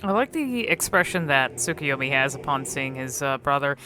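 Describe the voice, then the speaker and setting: A woman's voice: extremely low pitch, expressive, moderate pace, sharp tone. A woman giving casual, unscripted commentary on game cutscenes, musing hesitantly and often trailing off mid-thought. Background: music.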